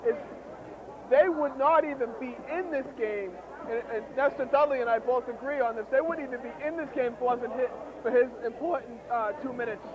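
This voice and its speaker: A man with a very high, strained voice calls the game courtside, hoarse from long use and pushing emphatic energy into every line. The sound is thin and phone-like. A wash of noise sits behind him. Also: fairly quick. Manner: excitable, emphatic. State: hoarse, losing his voice. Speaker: play-by-play commentator. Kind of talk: amateur league courtside commentary. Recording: tinny, noisy outdoor recording.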